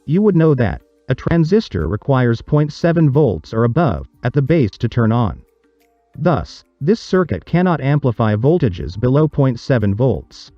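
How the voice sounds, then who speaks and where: A man reading a scripted explanatory narration for a tutorial, in a measured, didactic, step-by-step manner. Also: mid-range voice, very animated, dry recording, lo-fi sound, soft background music.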